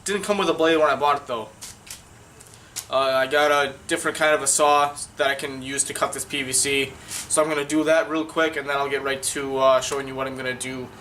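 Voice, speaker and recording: A man's voice: mid-range voice, steady tone, a little fast, sharp tone, slight background noise. A young man with a cold, sounding congested, casually explaining his boat project in a rambling vlog full of fillers. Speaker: vlogger.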